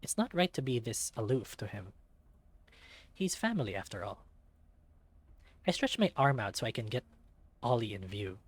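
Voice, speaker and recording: A man reading a visual novel aloud as an expressive narrator. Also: mid-range voice, normal speed, pauses often, husky, dry recording.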